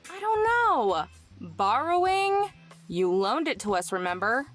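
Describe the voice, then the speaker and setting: Man putting on a taunting, animated young woman. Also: extremely high pitch, a little slow, faint music behind, voice pushed up, exaggerated voice. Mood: sarcastic. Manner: dry, taunting. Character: sarcastic, defiant young woman, smug and tough.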